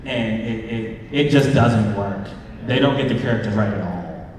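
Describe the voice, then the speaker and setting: A man's voice: lowish voice, normal speed, a little mellow. Echoey, emphatic male panel host. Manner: emphatic, opinionated. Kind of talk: casual fan panel commentary. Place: convention panel room. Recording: echoey hall, distant mic, audience noise.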